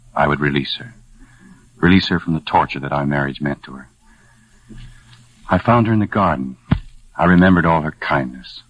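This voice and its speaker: An actor playing a scarred, bitter but tender husband in a 1940s radio drama, speaking earnestly and dramatically. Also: male voice, extremely low pitch. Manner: earnest, brooding, dramatic delivery. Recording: dull old 1940s radio recording with music.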